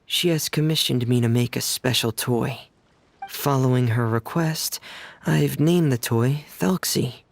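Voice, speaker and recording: A man playing a shy, reserved, gentle teenage boy in a video game cutscene, hesitant, polite and apologetic. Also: mid-range voice, a little slow, creaky.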